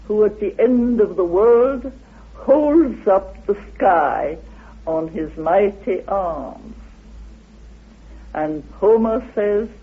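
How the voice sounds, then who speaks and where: Male scholar for interview, high husky voice, deliberate halting delivery that turns declamatory, thin old radio sound. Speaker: interviewed researcher of ancient myths. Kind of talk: lecture-like interview explanation. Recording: tinny phone-like old radio recording.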